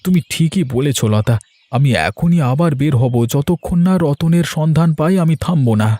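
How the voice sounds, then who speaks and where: A man's voice: a little high, normal speed, mellow, slight background noise, lightly acted. A man voicing an anxious, guilt-ridden village man in a fairy tale cartoon. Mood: worried, regretful. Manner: agitated, stammering when alarmed. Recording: voice over background music.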